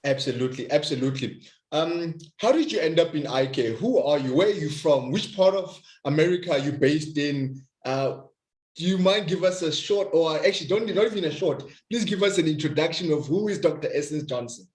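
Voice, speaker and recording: Enthusiastic man hosting a video call interview, fairly high voice, echoey room. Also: animated, brisk, darkish tone. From South Africa. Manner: effusive, enthusiastic, some stammered restarts. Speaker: host. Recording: roomy echo.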